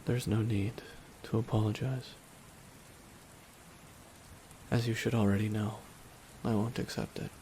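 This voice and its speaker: A man playing a grieving, resigned supervillain in a dramatic ASMR roleplay, speaking a slow, deliberate, solemn monologue with long pauses. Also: lowish voice, little pitch movement, warm tone, slight background noise, close to an everyday voice, rough recording. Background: rain falling.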